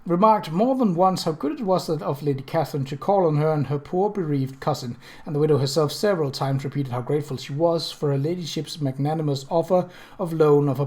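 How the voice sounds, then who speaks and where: A man narrates a period mystery novel in a voice pitched a little high. His phrasing is measured and storybook-like, as an audiobook reader's is. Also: darkish tone.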